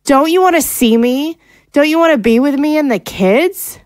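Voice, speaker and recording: A woman's voice: high voice, expressive, moderate pace, darkish tone, no echo, clean studio sound. A woman playing a hurt, fed-up wife and mother in an audio drama, pleading and accusatory as she confronts her husband. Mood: hurt, resolute. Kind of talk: dramatic audio screenplay acting.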